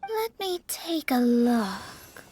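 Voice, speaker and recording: A woman voicing a young female astrologer mage in an anime game, polite but fussy and easily flustered, very expressive. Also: on the high side, extremely slow, a little hiss or hum, heavily acted. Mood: delighted. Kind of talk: anime video game voice acting.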